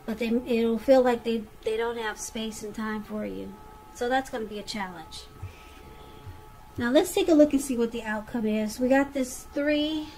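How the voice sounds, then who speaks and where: A woman's voice: a little high, a little mellow, low-quality recording. A woman giving a conversational tarot reading straight to the listener, repeating phrases for emphasis. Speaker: tarot reader.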